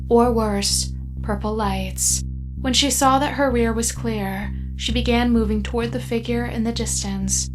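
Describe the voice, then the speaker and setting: A woman playing a calm, literal AI companion who also narrates a scripted audio drama in a measured, matter-of-fact way. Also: a little high, natural voice, rough recording.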